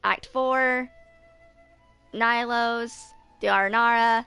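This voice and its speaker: A woman's voice: fairly high pitch, fairly even intonation, slow pace, bright, forceful tone, clean tone, faint music behind. A woman in her twenties giving casual, chatty commentary as she plays a video game. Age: 20s. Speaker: gaming video host. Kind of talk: casual game playthrough commentary, reading text aloud.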